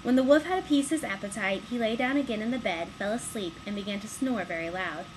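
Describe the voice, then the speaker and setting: A woman with a medium-pitched voice reads a bedtime tale aloud. Noticeable background noise runs behind her. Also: quick pace. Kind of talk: storybook narration. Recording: clearly noisy background ambience.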